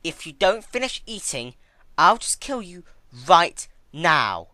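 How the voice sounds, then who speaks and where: A performer voicing a cruel, cold female pony tormentor with menacing, drawn-out emphasis in a fan fiction reading. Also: mid-range voice, sing-song, bright, forceful tone, no room sound, pitched above the performer's own voice, heavily acted. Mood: cold, threatening.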